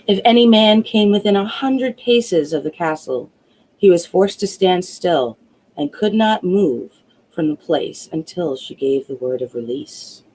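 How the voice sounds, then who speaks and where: A woman narrating a fairy tale as a storyteller, deliberate and dramatic. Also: fairly low pitch, expressive, a little mellow, slightly rough, low-quality recording.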